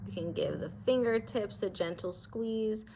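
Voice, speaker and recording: A woman leading a guided body scan meditation as an instructor, speaking in a gentle, reassuring way that gives permission. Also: fairly high pitch, a little slow, background noise.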